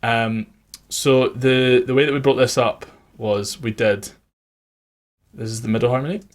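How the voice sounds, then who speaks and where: A man's voice: mid-range voice, steady tone, unhurried. A man working as a music producer, giving an informal, conversational tutorial on his production methods.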